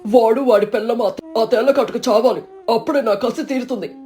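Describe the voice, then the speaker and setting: A woman acts out a greedy, vengeful village man from a cartoon folk tale. The voice is mellow yet boastful and exclamatory, over background music. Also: a little high, a little fast, close to an everyday voice. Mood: vengeful anger. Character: greedy, scheming, vengeful male village neighbour. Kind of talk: animated moral story acting.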